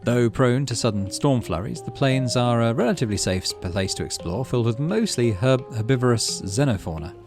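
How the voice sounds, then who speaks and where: Lively man reading a game aloud. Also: medium pitch, fairly quick, hardly any pauses, music in the background. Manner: relaxed, musing asides with fillers. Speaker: gaming channel host. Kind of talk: reading a visual novel aloud and commenting.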